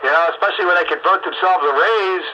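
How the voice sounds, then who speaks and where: A man's voice: high-pitched, fast, bright, forceful tone. A man in casual political discussion, emphatic and opinionated, repeating his points. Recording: lo-fi audio with faint noise.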